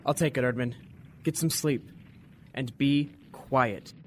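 A man's voice: medium pitch, slow, close to an everyday voice. A man playing an urgent, protective young American Marine rifleman in a war radio drama, speaking in clipped bursts. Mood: hushed warning. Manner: urgent, clipped battlefield delivery. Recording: noisy, with battle gunfire and artillery effects.

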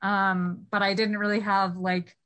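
A woman's voice: medium pitch, little pitch movement, unhurried, fairly bright tone, clear, resonant tone. Woman host, conversational interviewer, chatty and filler-heavy. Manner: chatty, many fillers like "you know".

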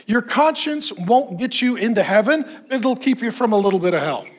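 A man preaching a sermon to a congregation, folksy and self-mocking with quick asides, while he is losing his voice and keeps clearing his throat. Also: high-pitched, lively intonation. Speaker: pastor. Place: church in front of a congregation.

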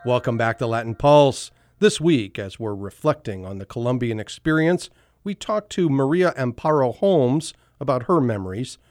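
Host: man, mid-pitched, steady measured questions. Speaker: radio program host. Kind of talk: radio interview and introduction.

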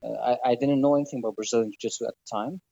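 Podcast guest, man from Greece, mellow voice, relaxed and hesitant, dry lo-fi sound. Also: medium pitch, very fast, creaky, dry recording. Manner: relaxed, hesitant with many fillers. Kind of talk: casual interview chat.

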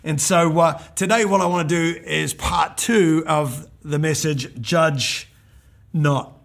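An older male pastor preaching a sermon in a hoarse, croaky voice, reading scripture earnestly and with emphasis. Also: on the high side, steady tone, takes it slowly, a bit bright.

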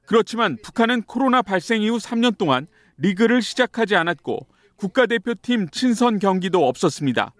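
A man's voice: sits high, animated, brisk, dry recording. A man reading a formal translation of an interview as a voice-over. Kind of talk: read translation.

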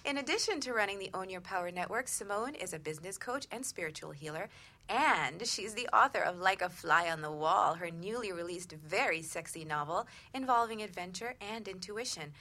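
A woman's voice: fairly low pitch, animated, brisk, sharp tone. A woman co-hosting a casual radio talk show in a playful, flirty manner.

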